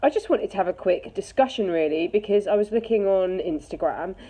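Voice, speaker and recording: A woman's voice: medium pitch, animated, a little fast, dark tone, slightly rough, low-quality recording. A woman talking candidly and casually, giving her personal opinion in a conversational way. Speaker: charity chief executive giving an opinion. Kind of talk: casual opinion talk to camera.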